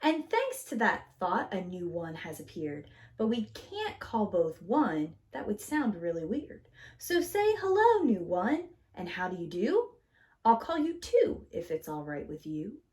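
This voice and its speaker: Female preschool storyteller: theatrical picture-book read-aloud, highly animated pitch, stretches key words for small children. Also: unhurried.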